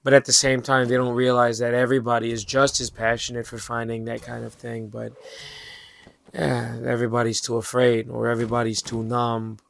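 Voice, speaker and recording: A man in his twenties, hoarse and raspy from losing his voice, talking through a rambling late-night monologue as a channel host, halting with fillers and casual swearing. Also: mid-range voice, completely flat delivery, fairly bright tone, a little hiss or hum. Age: 20s.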